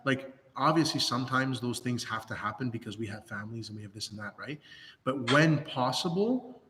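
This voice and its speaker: A hoarse man speaking as a priest in a livestreamed pastoral talk, earnest, with many restarts and fillers. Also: mid-range voice, a bit bright, long reverb tail. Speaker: Orthodox priest.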